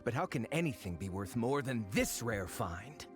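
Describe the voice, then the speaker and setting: A man's voice: medium pitch, sing-song, normal speed, over music, close to an everyday voice. A man playing a greedy, scornful treasure hunter in a video game, with a brash, dismissive delivery. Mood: gloating. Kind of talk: scripted video game voice acting.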